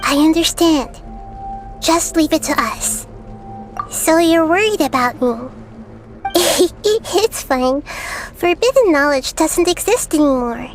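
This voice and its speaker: A woman playing a calm, kind young girl goddess in a game, speaking in a measured, thoughtful way. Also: sits high, soft background music. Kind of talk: game cutscene voice acting.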